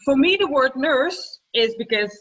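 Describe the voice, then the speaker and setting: A woman from the Netherlands with a Dutch accent, a native Dutch speaker, joining a discussion haltingly as she searches for words. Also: high voice, expressive, fairly bright tone, slightly rough. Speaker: workshop participant. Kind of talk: spontaneous discussion. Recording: distant, muffled microphone.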